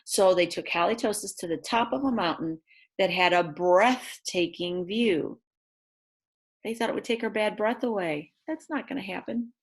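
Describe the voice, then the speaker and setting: A woman reads a picture book aloud to young children in an expressive way, adding chatty asides about the pictures. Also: mid-range voice, normal speed. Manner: expressive, exclaims and gasps over the pictures. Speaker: read-aloud storyteller for children.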